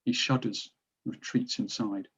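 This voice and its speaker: A man's voice: fairly low pitch, fairly even intonation, a little slow, fairly bright tone, husky. A man narrating stage directions from a drama script, recorded on dull, lo-fi audio.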